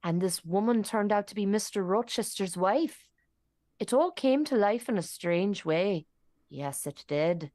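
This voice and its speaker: A woman as a slow-talking gossipy servant witness. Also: mid-range voice, animated, dark tone, no echo, studio quality, lightly acted. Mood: confiding. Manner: storytelling, relishing grim detail, deferential. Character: gossipy, dramatic old-time English local servant witness. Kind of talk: radio drama acting.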